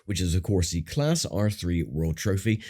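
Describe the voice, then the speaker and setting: A man with a croaky voice giving casual, chatty commentary while playing a racing game, thinking aloud with fillers. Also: deep voice, animated, moderate pace, a little mellow. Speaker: gaming channel host. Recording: voice over game audio with engine noise.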